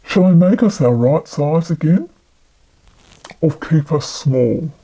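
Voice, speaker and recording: A woman voicing a calm, commanding male magician in a storybook, speaking in a deliberate, reassuring way. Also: low-pitched, husky, voice pushed down, full character voice, rough recording. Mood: thoughtful.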